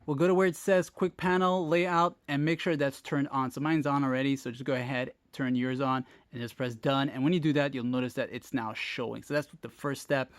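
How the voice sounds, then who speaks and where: A man's voice: mid-range voice, steady tone, fairly quick, hardly any pauses, no room sound. A man hosting a tech tutorial, talking viewers through steps in a casual, informal way and addressing them directly. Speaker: host of a phone tech tutorial channel. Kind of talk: casual step-by-step tutorial narration.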